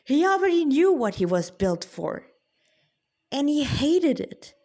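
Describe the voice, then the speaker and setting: A woman, an author reading her own story in a dramatic, deliberate way with informal asides. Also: high voice, sing-song, mellow.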